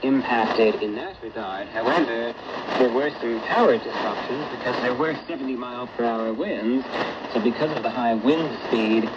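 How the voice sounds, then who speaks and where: A man with a medium-pitched voice presents a radio show as its host, addressing listeners in a broadcast style. The sound is a dull shortwave signal with very loud noise throughout. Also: normal speed. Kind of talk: radio broadcast reading listener check-ins and announcements.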